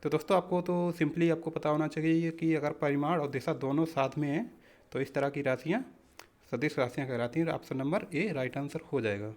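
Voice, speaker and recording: A man's voice: fairly high pitch, fairly quick, a little mellow. A man teaching exam practice questions, explaining step by step in an instructional way and often addressing listeners as friends. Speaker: exam-prep physics teacher.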